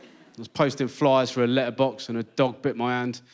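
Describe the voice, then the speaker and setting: A British man doing a stand-up set in a theatre, telling stories with deadpan punchlines. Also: medium pitch, moderate pace. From Britain. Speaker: stand-up comedian. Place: large theatre with live audience. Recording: stage mic in theatre. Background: loud audience laughter.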